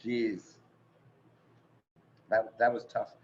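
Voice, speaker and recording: A man hosting a live online event, introducing performers in a halting, effusive and casual way over a video call. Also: fairly low pitch, monotone, darkish tone. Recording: dull noisy video call audio.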